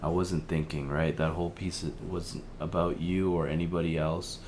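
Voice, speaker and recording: A man playing an apologetic, evasive helpline listener in an audio drama, speaking in a hesitant, halting, conciliatory way. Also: sits low, level tone, a little mellow, a little hiss or hum. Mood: sheepish, apologetic. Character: Theo, apologetic, evasive male helpline listener with a troubled marriage. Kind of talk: audio drama, naturalistic phone conversation.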